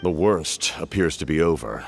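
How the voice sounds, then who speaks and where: An actor playing a stoic warrior in a video game, curt, grave and restrained. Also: male voice, extremely low pitch, lively intonation, normal speed, creaky, slight background noise, exaggerated voice. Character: stoic, terse, very deep-voiced male warrior. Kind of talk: dramatic video game voice acting.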